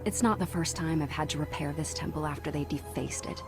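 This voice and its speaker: An actress playing a weary, dutiful Spartan priestess in a video game, speaking in a measured, reflective way. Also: female voice, deep voice. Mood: bitter, exasperated. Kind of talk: cinematic video game acting. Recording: music behind the voice.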